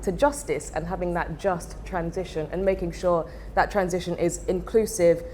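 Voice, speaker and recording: A woman's voice: on the low side, steady tone, moderate pace, warm tone, slight background noise. A woman speaking as a panelist, explaining her view thoughtfully. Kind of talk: panel discussion.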